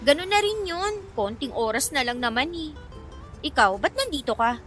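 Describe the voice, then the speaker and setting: A feisty young Filipino shop manager in a radio drama. Her voice is high and bright, swinging freely as she banters with easy familiarity. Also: very animated, normal speed, soft background music. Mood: playful, tired.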